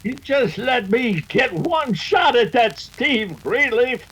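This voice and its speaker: Very high-pitched, feisty old rancher. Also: male voice, lively intonation, slow, a bit bright, a little hiss or hum, exaggerated voice. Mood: agitated, vengeful. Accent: American. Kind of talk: old-time radio western acting.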